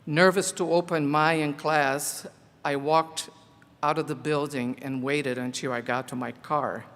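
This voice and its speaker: An older man whose first language is Portuguese, a teacher near the end of his career, giving a slow, earnest commencement speech. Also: a little high, steady tone, a bit bright. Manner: slow, deliberate, earnest delivery. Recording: echoey hall.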